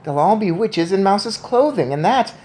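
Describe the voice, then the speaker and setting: A woman voicing a clever, eager boy who is a talking mouse, in an excited, emphatic storybook reading. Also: mid-range voice, moderate pace, slight background noise, pitched above the performer's own voice, close to an everyday voice. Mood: worried. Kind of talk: storybook read-aloud.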